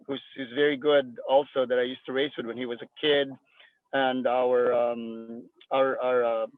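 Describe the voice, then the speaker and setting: A man's voice: medium pitch, no echo. A man in his fifties from the Philippines, a jet ski racer giving an interview, talking loosely with many fillers and restarts. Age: 50s. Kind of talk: interview, casual talk. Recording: tinny phone-like line.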